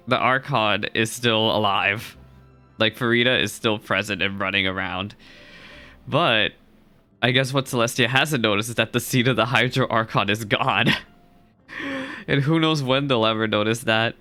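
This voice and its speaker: Bright-voiced man doing casual reaction commentary. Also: mid-range voice. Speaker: reaction video host.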